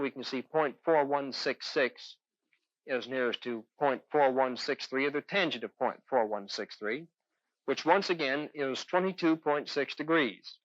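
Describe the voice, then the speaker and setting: A man's voice: mid-range voice, a bit bright, no room sound. Male instructor, dull vintage film sound. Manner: methodical, step-by-step explaining. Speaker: electronics instructor.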